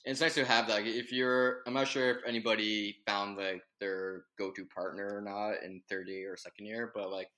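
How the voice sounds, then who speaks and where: A reserved young man who speaks in an even monotone. His voice sits fairly low yet sounds bright and forceful. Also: moderate pace, hardly any pauses, low-quality recording. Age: young adult. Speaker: guest. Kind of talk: casual podcast chat.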